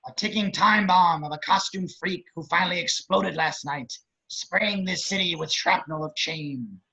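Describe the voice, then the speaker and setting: Man putting on a raspy, high-pitched grotesque villain. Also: moderate pace, pushed and bright, exaggerated voice. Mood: grandiose. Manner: theatrical, bitter speechifying. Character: grotesque, grandiose male crime boss posturing as politician. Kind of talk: script table read, villain acting. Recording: dull lo-fi call audio.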